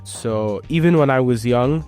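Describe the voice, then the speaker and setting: A young man, a university student, answering interview questions in a relaxed, thoughtful way. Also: medium pitch, unhurried, soft background music. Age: young adult.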